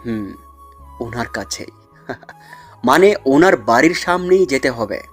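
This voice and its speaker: A man's voice: medium pitch, expressive, slow pace, faint music behind. A man playing a cunning, commanding mafia mastermind in an audio drama, explaining things in a smug, condescending way. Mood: calm, secretive. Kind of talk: dramatised audio story acting.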